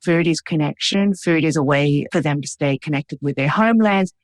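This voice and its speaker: A woman's voice: deep voice, moderate pace, no room sound, clean studio sound. A woman with an Australian accent, a guest cook and writer, talking reflectively with lots of "like" and "you know". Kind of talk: conversational interview.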